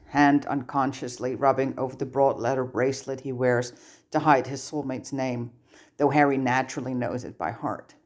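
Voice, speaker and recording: A narrator reading fan fiction aloud as scripted audiobook-style narration. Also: medium pitch. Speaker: podfic narrator.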